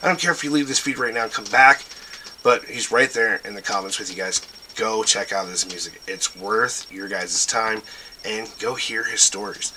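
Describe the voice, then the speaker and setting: A man's voice: fairly low pitch, normal speed, bright, forceful tone, a little hiss or hum, rough recording. A man hosting a casual livestream show, rambling as he urges listeners to check out pages and artists. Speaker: show host. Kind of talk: casual livestream shout-outs.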